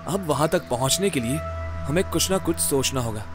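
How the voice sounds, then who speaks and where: A man plays an irritable ghost-case investigator who takes charge. His voice sits high for a man and is bossy and foul-mouthed, in the style of a horror-comedy audio drama. Music runs clearly behind him. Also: animated, a little fast, lightly acted. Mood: earnest, planning.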